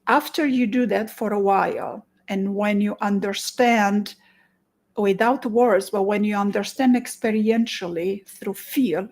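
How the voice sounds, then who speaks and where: Romanian-accented woman therapist, gravelly edge, earnest halting delivery. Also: medium pitch, a little mellow. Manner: earnest, many restarts, stretched pauses. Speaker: therapist and conference presenter. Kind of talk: promotional talk to camera.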